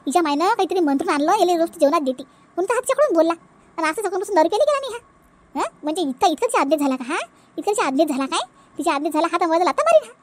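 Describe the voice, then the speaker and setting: A woman voicing a quarrelsome, suspicious older mother-in-law in a comic cartoon, scolding and repeating complaints in a Vidarbha Marathi accent. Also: extremely high pitch. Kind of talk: exaggerated comic cartoon dialogue.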